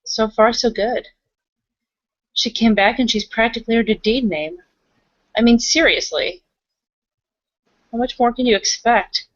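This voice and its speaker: A woman's voice: fairly high pitch, a little slow, pauses often, no echo, muffled recording. A woman playing in an improvised tabletop roleplay, speaking in character and narrating in a casual, understated way. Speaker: roleplay player.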